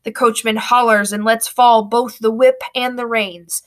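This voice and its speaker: A woman reading a storybook aloud with expressive, dramatic narration. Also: fairly high pitch, measured pace.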